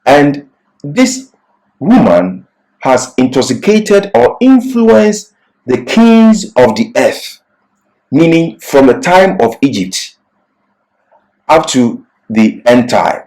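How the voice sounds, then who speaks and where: A man's voice: on the high side, sing-song, a little mellow, poor audio. Emphatic man preaching with sweeping intonation. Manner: emphatic, deliberate, didactic. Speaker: Bible teacher. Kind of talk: expository sermon with scripture readings.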